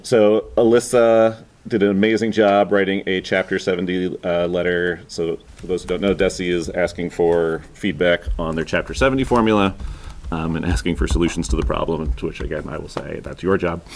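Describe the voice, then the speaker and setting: A man chairing a school committee meeting in a meeting room, talking in a loud, joking, conversational way. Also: low voice, slight background noise. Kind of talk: running a public meeting.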